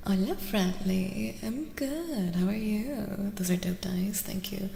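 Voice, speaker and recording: A soft-spoken woman hosting an ASMR livestream, often near a whisper, greeting viewers in a welcoming way and drifting between repeated phrases and asides. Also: mid-range voice, slow pace, creaky. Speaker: livestream host. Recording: roomy reverberant sound with faint noise.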